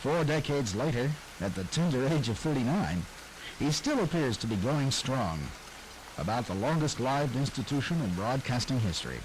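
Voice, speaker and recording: A man narrating scripted introductions to old radio comedy clips in a smooth announcer style. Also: mid-range voice, lively intonation, moderate pace, slight background noise, rough recording.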